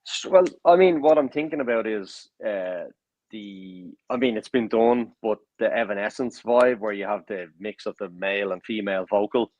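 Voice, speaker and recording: A man in a band chatting casually in an interview, joking and trailing off softly, over a video call. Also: on the low side, moderate pace, no room sound. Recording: dry, dull lo-fi audio.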